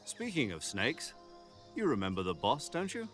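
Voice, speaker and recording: An actor playing a commanding middle-aged military officer giving a formal, instructive radio briefing in a video game. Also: male voice, medium pitch, huge pitch swings, a bit bright, soft background music. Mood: calm, formal. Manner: measured, authoritative, instructive. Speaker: mission commander.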